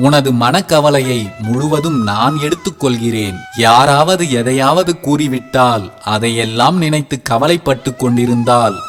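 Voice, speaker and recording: Soothing protective deity voiced by a man, calm devotional address, mid-pitched, faint music underneath. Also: close to an everyday voice. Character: gentle, protective male deity speaking to a devotee. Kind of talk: scripted devotional narration. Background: soft background music.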